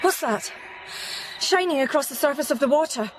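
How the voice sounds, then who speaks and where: A woman's voice: high-pitched, expressive, moderate pace, background noise. An actress playing a bewildered, awed mother in a radio drama, speaking hesitantly.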